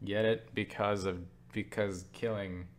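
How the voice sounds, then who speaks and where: A man's voice: on the low side, flat delivery, unhurried, a little hiss or hum. A tired young man casually answering viewer questions on a webcam, joking and self-deprecating as he rambles. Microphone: webcam setup.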